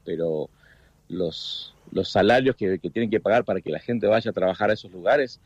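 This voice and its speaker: Argentine guy on a video call, lowish voice, keeps restarting his sentences, dull dry audio. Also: a little mellow, husky, dry recording. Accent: Argentine Spanish. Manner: halting, frequent restarts and repeated words. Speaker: guest, food business owner in the US. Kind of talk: casual interview chat.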